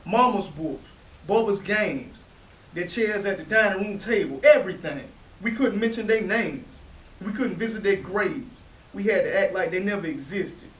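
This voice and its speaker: A man's voice: high voice, lively intonation, normal speed, fairly bright tone. An actor playing a wounded, resentful young man in a staged play reading. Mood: bitter, hurt. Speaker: actor in a readers theatre play. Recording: hissy, echoey stage pickup from far off.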